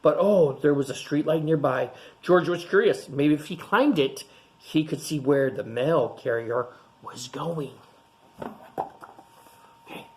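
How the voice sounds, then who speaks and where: A woman teacher reading a picture book to young children, expressive and pausing to explain things simply in child-directed speech. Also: low voice, a little hiss or hum.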